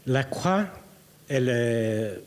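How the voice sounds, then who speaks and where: A Brazilian man preaching in a language that is not his first, speaking in short phrases and pausing for an interpreter. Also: mid-range voice, animated. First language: Portuguese. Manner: playful, reads tongue twisters aloud. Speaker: guest preacher. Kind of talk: sermon.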